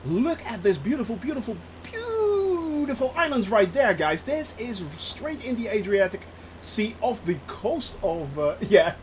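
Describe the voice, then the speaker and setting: Excitable Dutch man, high lively voice. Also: a little slow, slight background noise. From Netherlands. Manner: chatty and excitable, laughs often. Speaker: streamer. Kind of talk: casual live-stream chat while flying a simulator.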